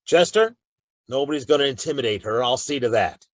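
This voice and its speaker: An actor playing a calm, authoritative Old West marshal with an American accent and a measured, firm delivery in a radio drama. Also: male voice, medium pitch, no echo, lightly acted. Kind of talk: Western radio drama acting.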